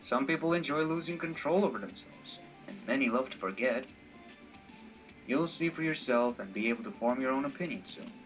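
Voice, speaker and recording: A man plays a worldly male guide in a visual novel, calm and dry-witted. The voice sits at an average male pitch and stays measured and understated, with faint music behind it. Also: close to an everyday voice, low-quality recording. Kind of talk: amateur visual novel voice acting.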